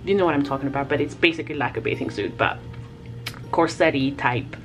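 A woman's voice: a bass voice, quick pace. A woman whose first language is Lingala, from the Congo, chatting casually and reminiscing as she tells a story. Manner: chatty and reminiscing, with frequent laughs and fillers. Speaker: artist vlogger. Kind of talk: casual storytelling over a timelapse. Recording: music playing under the voice.

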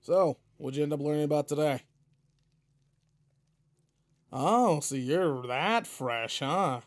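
A man's voice: on the high side, lively intonation, unhurried. A man playing a seasoned but laid-back space guardian mentor in a video game roleplay, casual, slangy and wry as he talks to a partner. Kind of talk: video game roleplay audio, in-character monologue.

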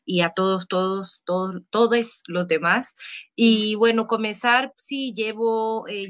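A woman in her thirties from Nicaragua with a Central American Spanish accent, speaking as a documentary filmmaker on an online panel, thoughtful and halting, full of fillers and restarts, heard through a laptop webcam mic. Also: mid-range voice, a bit bright. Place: room at home, indoors. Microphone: laptop webcam video call.

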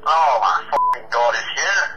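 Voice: medium pitch, expressive, measured pace, muffled recording, noisy background, pitched below the performer's own voice. Someone doing an exasperated man on speakerphone. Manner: exasperated, swearing. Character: irritated Irish man answering the phone. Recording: echoey speakerphone line.

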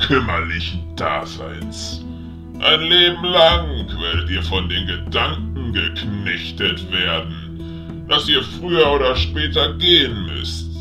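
A man playing a diabolical, dominant general with a deep voice, slow and menacing, in a fantasy audio drama. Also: exaggerated voice. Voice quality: deep, breathy at times. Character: diabolical, dominant undead-allied general, nihilistic, gloating. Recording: music behind the voice.

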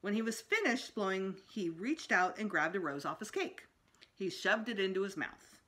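Middle-aged female storytime reader, bright tone, lively swings in pitch. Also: normal speed. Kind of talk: expressive read-aloud of a children's book. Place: small indoor room at home.

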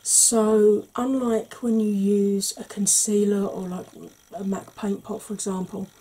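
A woman in her forties, a makeup vlogger, talking through an ASMR makeup tutorial slowly and gently in a soft, breathy voice that often drops to a whisper, recorded at home. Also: mid-range voice, level tone. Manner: slow, gentle, patient explaining. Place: small home room.

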